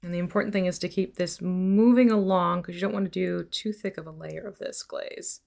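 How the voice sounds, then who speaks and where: A young woman teaching miniature painting on a livestream, explaining each step in a relaxed, easy way from home. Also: fairly low pitch, brisk, creaky. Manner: relaxed explaining, laughs easily. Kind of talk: casual livestream tutorial. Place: indoor home room.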